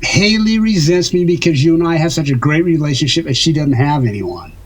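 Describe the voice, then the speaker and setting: A husky-voiced man in an audio drama, a defensive lover and patron. He coaxes and pleads, then slips into evasive sarcasm. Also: a little high, expressive, fairly quick.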